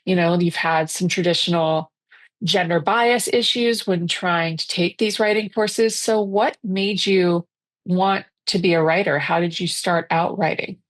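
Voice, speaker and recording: A woman hosts an interview podcast in a casual, upbeat manner, encouraging her guest along. Her voice sits on the low side and the recording is dry, with no room sound. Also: steady tone, unhurried, no echo. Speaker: podcast host.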